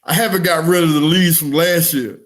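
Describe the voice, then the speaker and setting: A man's voice: a little high, fairly even intonation, brisk. A man co-hosting a podcast, chatting and telling stories in a slangy, jokey way with some swearing. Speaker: podcast co-host. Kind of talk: casual chat, storytelling.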